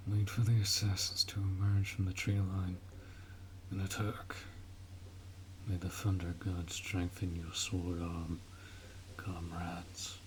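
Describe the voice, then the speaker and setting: A man's voice: deep voice, monotone, slight background noise. A man playing a stern, honorable orc warrior commander in a fantasy audio roleplay, speaking in a formal, commanding way. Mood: hushed, commanding. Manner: formal, commanding, measured delivery.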